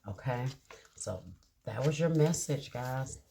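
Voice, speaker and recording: A woman giving a casual tarot reading to her viewers, reading card meanings aloud slowly and checking in with a reassuring "okay?". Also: very deep voice, gravelly, warm tone, a little hiss or hum. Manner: drawn-out, reassuring, many "okay?" check-ins. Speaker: tarot reader.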